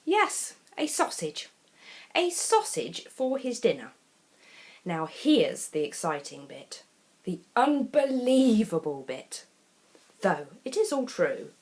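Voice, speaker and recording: Slow, very animated woman reading to children. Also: medium pitch. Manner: expressive, deliberate dramatic pauses. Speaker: storyteller reading children's picture books.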